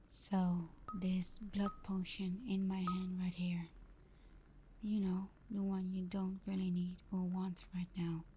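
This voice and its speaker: Woman doing a seductive vampire gf for asmr roleplay, real soft n breathy, super slow, mellow dark tone, close on the mic. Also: on the low side, completely flat delivery, slight background noise. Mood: tender, seductive. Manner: soft, breathy, half-whispered, unhurried.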